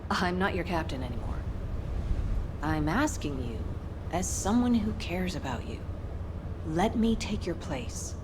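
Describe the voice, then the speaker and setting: A woman voicing a seasoned, caring former captain in a video game, gentle and earnest. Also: on the low side, sing-song, unhurried, pauses often, noisy background.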